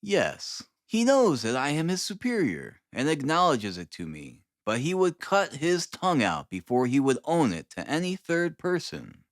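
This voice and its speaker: A man voicing a brisk, dryly superior detective in an audiobook, calm and clipped in manner. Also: fairly high pitch, dry recording, studio quality, voice pushed up. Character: brisk, dryly superior male detective, languid then energetic. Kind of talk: dramatised audiobook reading of Victorian detective fiction.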